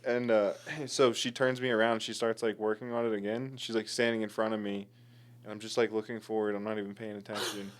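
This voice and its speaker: Young man telling loud animated stories. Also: on the low side, fairly quick. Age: 20s. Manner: animated storyteller, acts out quotes loudly, swears. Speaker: podcast co-host. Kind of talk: casual storytelling chat.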